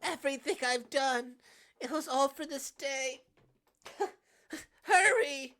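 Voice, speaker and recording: A woman's voice: extremely low pitch, a little slow, pitched below the performer's own voice. Woman acting a tragic cursed young woman, pleading. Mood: desperate pleading. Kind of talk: dramatic visual novel read-aloud.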